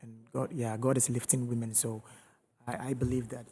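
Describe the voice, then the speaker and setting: A man speaking as a gospel singer on a studio panel. His pitch is mid-range for a man, and he talks haltingly, with plenty of fillers as he searches for words. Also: flat delivery, mellow. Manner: hesitant, many fillers. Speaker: gospel musician guest. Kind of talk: studio interview answers.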